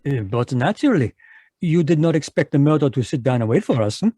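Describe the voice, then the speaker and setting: An actor playing a fussy, courtly Belgian detective, in a live radio drama over a video call. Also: male voice, mid-range voice, lively intonation, fast talker, warm tone, no room sound, lightly acted. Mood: smug, courtly. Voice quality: precise, courtly French-inflected delivery. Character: fussy male Belgian detective, polite and sly.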